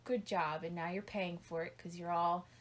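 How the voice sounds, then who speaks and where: A woman co-hosting a podcast with her husband, laughing often and gently teasing and correcting him. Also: lowish voice, fairly even intonation, moderate pace. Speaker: co-host, the host's wife. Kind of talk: casual chat with her husband.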